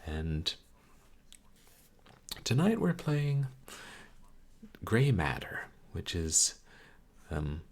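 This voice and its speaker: A man chats his way through a game on a live stream. His voice is very deep and soft-spoken, calm, and unhurried. He rambles in a casual way with lots of fillers.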